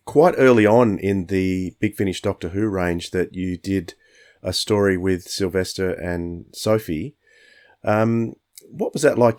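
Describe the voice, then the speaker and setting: Low-pitched male interviewer. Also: a little mellow. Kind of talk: conversational interview questioning.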